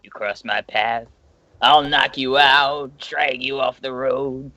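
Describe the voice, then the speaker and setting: A woman playing a sly, menacing ghostly highwayman with a drawn-out, threatening delivery. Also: steady tone, sharp tone, heavily acted.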